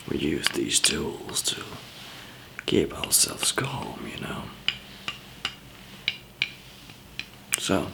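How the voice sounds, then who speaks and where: A man playing a calm, easygoing survival trainer in an ASMR zombie apocalypse roleplay, whispering softly and hesitantly with a gravelly, breathy voice. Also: very deep voice, noisy background, full character voice. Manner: hesitant, halting, soft-spoken. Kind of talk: ASMR roleplay, improvised monologue to listener.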